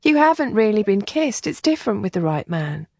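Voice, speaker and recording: Woman voicing a gentle, composed lady. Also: medium pitch, big pitch swings, a little fast, no room sound, clean studio sound. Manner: gentle and matter-of-fact. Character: composed, caring older female companion. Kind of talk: audiobook character voicing.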